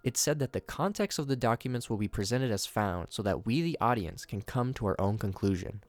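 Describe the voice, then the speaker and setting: A man's voice: on the low side, lively intonation, brisk, dry recording. A man hosting a video, reading scripted narration mixed with his own commentary. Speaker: video host reviewing horror shorts.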